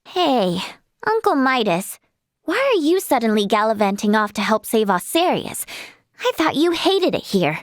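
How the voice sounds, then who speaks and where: High-pitched, bubbly young healer girl. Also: normal speed, no room sound, clean studio sound. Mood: curious, puzzled. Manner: bubbly, expressive, earnest. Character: cheerful, earnest young female healer in a fantasy game. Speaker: video game voice actress. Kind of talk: animated fantasy game character acting.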